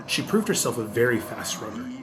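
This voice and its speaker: A man's voice: medium pitch, sing-song, fairly quick, background noise. A man narrating a scripted adventure audiobook as the storyteller.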